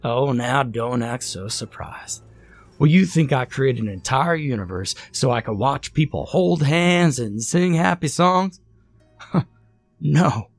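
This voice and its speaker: A man voicing a mocking ancient god who is part devil, with a deep, flat voice. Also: takes it slowly, no echo, soft background music. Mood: maniacal. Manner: turns maniacal and wicked. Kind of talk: storytelling with acted dialogue.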